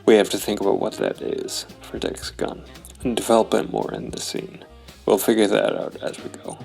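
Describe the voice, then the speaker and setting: A man narrating his solo tabletop role-playing game, thinking aloud in a deliberate, analytical way. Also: on the low side, flat delivery, creaky, a little mellow, faint music behind.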